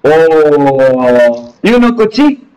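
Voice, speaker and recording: A man's voice: on the high side, big pitch swings, extremely slow, a little mellow, rough recording. Playful young man with a Malayali accent. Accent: Malayali English. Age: young adult. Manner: playful, teasing, hesitant with many fillers. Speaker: video chat prankster. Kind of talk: casual video chat. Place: small room, indoors.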